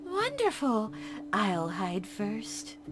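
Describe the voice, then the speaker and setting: A woman's voice: mid-range voice, huge pitch swings, extremely slow, over music, pitched above the performer's own voice, heavily acted. A woman voicing a polite, unsettling ghost demon girl in a horror game. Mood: eerie polite.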